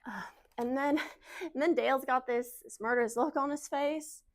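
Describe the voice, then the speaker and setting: Casting: woman playing naive young wife, slightly high voice, confiding and halting, emotional stage monologue. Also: steady tone. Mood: frightened, urgent. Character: anxious young Southern wife, naive, defending her husband. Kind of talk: dramatic monologue in speech competition.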